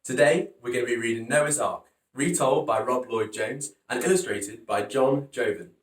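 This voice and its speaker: A man's voice: mid-range voice, moderate pace. A man hosting a storytime, narrating a children's picture book in a dramatic, emphatic way. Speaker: storytime host reading picture books. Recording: roomy echo, distant from microphone.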